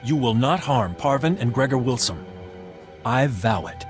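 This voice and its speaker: A man's voice: mid-range voice, expressive, measured pace, music in the background, lightly acted. A male actor playing a steadfast castle guard captain in a video game, resolute and commanding. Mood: rallying defiance. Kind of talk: video game dramatic acting.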